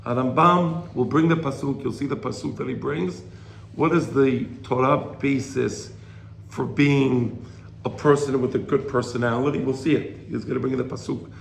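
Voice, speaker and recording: A slightly hoarse man giving an informal religious lecture to a study group, explaining and checking in with rhetorical questions. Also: medium pitch, fairly even intonation, moderate pace. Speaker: Torah teacher. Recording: roomy echo, faint background noise.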